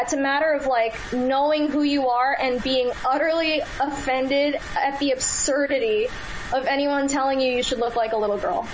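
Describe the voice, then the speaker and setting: A woman with a high-pitched voice, a radio interview guest who answers earnestly, with many fillers and restarts. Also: noisy background.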